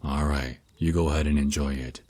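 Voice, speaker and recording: Man playing a very deep, husky vampire naga boyfriend. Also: fairly even intonation, darkish tone. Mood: tender, encouraging. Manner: slow, soothing, teasing endearments. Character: centuries-old male vampire naga boyfriend, tender mentor. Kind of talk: ASMR roleplay, intimate monologue.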